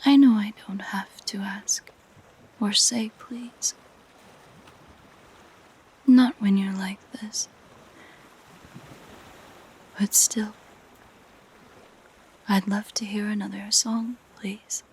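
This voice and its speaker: A woman voicing a possessive, curious siren in an intimate audio roleplay, speaking in soft, gentle, musing whispers. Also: mid-range voice, fairly even intonation, full character voice. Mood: soft, possessive fondness. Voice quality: soft, breathy, mostly whispered. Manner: slow, gentle, musing delivery. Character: possessive, curious female siren, sweetly entranced by humans.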